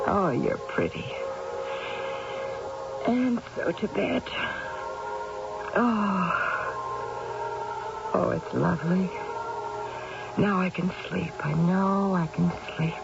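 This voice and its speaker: Gravelly, anguished middle-aged wife. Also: female voice, lowish voice, very slow, pauses often, over loud music, full character voice. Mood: fragile, frightened. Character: anguished, insomniac wife and mother, wryly bitter. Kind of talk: old-time radio drama acting. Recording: muffled, noisy old radio recording.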